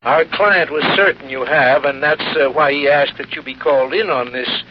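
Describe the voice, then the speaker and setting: An earnest, deferential office manager, voiced by a male actor, speaking formally in a slightly high voice. It is old radio drama, muffled and clearly noisy. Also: normal speed, close to an everyday voice. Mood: earnest, concerned.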